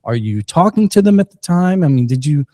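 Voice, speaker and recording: A man's voice: on the high side, big pitch swings, mellow, no echo, studio quality. A man hosting a podcast, asking rambling questions with plenty of jokes and restarts. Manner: joking, rambling, halting questions. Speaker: podcast host. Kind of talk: podcast interview. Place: Missouri.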